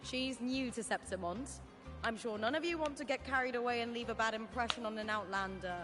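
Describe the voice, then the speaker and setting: A woman's voice: a little high, brisk, lightly acted. A woman playing a cheerful, confident young gladiator in an anime-style video game, breezy and friendly but in command. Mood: cheerful, placating. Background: loud game music.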